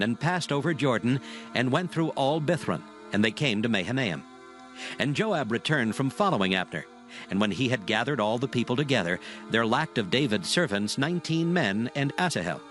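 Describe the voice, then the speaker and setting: Casting: male narrator, mid-pitched, solemn and measured, reading dramatized scripture with music underneath. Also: expressive, fairly bright tone. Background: background music.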